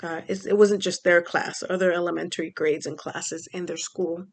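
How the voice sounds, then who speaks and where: A woman's voice: on the low side, steady tone, normal speed. A young woman from Mexico talking casually in a personal vlog, rambling with many fillers. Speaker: vlogger.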